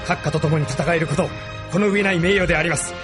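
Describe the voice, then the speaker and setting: A man's voice: fairly high pitch, steady tone, fast, lightly acted. A man acting a deferential military officer in a radio drama, speaking with respectful formality over music.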